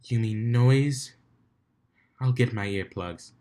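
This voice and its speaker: Prim mare musician, read by a man, storybook tale: dry, fussy, perpetually exasperated, middle pitch. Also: slow, mellow, natural voice. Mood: weary disdain. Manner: dry, long-suffering, fussy.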